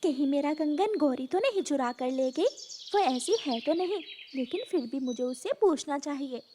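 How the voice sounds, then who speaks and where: Woman playing cartoon bird lady, kind employer, fairly high pitch, expressive intonation, caring. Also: moderate pace, a little hiss or hum. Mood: worried. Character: rich kindly female bird, generous household mistress. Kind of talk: Hindi children's moral cartoon dialogue.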